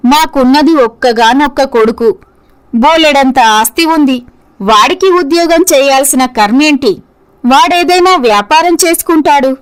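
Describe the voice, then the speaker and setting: Woman acting a haughty, high-voiced rich mother. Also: measured pace, poor audio. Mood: offended, haughty. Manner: haughty, dismissive. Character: haughty, indulgent wealthy mother, elder sister-in-law. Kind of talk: scripted story dialogue.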